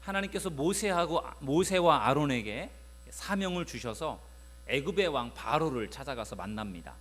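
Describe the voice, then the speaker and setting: A male pastor giving a Sunday sermon in a conversational way, often pausing on filler sounds while he retells a Bible story to the congregation. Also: fairly high pitch, animated, a bit bright.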